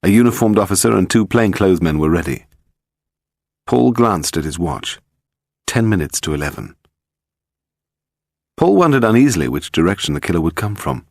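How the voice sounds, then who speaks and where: A man's voice: low voice, big pitch swings, normal speed, creaky, long pauses, no echo, studio quality. A man reading scripted narration of a crime story as an audiobook narrator.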